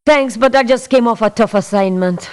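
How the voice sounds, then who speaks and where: A woman playing a tough, principled mercenary for hire in a video game, speaking in a blunt, firm, measured way. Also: on the high side, a little mellow, clean tone, natural voice. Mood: firm, dismissive. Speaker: mercenary character in a strategy game. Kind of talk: video game voice clips, scripted refusals and barks.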